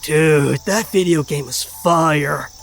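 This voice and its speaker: A young male sidekick played by a man with a high-pitched voice. He is a dry, pedantic know-it-all in an audio drama, with music under his lines. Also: slow pace, gravelly, exaggerated voice. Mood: dry, deadpan.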